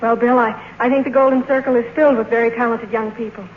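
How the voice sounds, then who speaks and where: A woman's voice: fairly high pitch, steady tone, fast, a little hiss or hum. A young woman, a newcomer actress, making eager, deferential scripted chat on a 1950s radio show. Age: young adult. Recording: echoey, tinny vintage radio broadcast.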